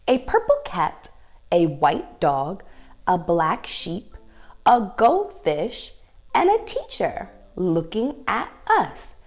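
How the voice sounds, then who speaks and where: A woman leads a story and movement lesson for little kids with a hugely animated, swooping voice. She takes her time and sounds encouraging, giving simple directions with some music playing. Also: on the low side. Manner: encouraging, simple instructions with frequent questions to young children; sing-song, exaggerated delivery for young children. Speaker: children's dance teacher. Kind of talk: children's read-aloud and ballet lesson.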